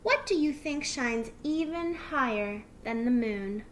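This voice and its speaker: A woman plays a storybook mother fox who explains things patiently and soothingly. Her voice is high and slow, with melodic rises and falls, as if teaching a small child. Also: expressive, noisy background. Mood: tender.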